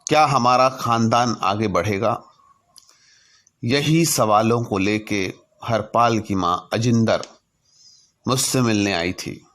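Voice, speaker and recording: Slow-paced man telling a story. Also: fairly low pitch, fairly bright tone. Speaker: narrator telling his own anecdotes. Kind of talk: storytelling narration.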